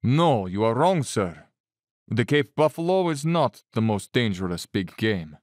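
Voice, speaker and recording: An actor in an audio drama playing an urbane Cossack general and hunter, courtly and self-satisfied, with quiet menace. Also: male voice, mid-range voice, very animated, a little slow, no echo, clean studio sound. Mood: smug, ominous.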